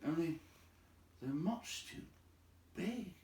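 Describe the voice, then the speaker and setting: A man voicing a small, sharp-eyed, eager male hobbit in a storybook reading, with a lifted delivery. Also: on the high side, lively intonation, slow, a little hiss or hum, voice pushed up. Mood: uneasy. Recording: roomy echo, distant microphone.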